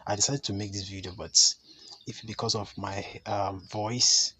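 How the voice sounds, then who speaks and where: A man, slightly hoarse from a cold, giving an earnest spiritual teaching as a chief priest. He often stops to ask the listener a rhetorical "hmm?". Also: on the low side, fairly even intonation, normal speed, pushed and bright, slight background noise. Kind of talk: talking-head teaching to camera.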